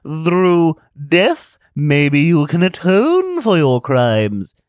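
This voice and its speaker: A sinister talking television, played by a male narrator in an audiobook. It taunts in a shrill, high, wildly swooping voice. The sound is dry and close. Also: big pitch swings, drawn-out words, smooth, no echo, heavily acted. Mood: taunting.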